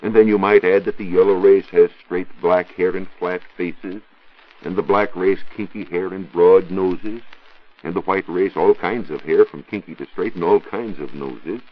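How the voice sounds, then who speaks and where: An American man giving a scripted 1940s radio science talk as a reporter, didactic and rhetorical in measured phrases. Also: low voice. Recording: dull 1940s radio recording.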